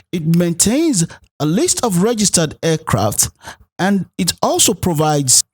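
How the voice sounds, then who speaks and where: A man narrating a programming tutorial as an instructor, explaining things step by step. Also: on the high side. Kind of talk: tutorial narration with code walkthrough.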